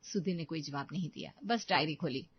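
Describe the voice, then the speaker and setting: A woman narrating a moral story dramatically, in deliberate short phrases. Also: low voice, husky, dry recording, muffled recording.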